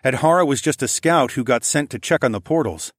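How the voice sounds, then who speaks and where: A man's voice: medium pitch, lively intonation, fairly quick, breathy edge, no room sound, clean studio sound, pitched above the performer's own voice. A man voicing an earnest, protective young male hero in an audiobook story.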